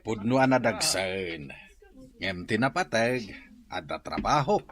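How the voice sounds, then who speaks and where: A man's voice: on the low side, unhurried. An actor playing a polite, hardworking old male construction worker in a radio drama. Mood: earnest. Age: older. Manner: polite, respectful, steady.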